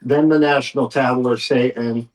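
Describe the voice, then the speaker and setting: Man, medium pitch, casual game master, informal table talk. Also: steady tone, normal speed, crowd noise, rough recording.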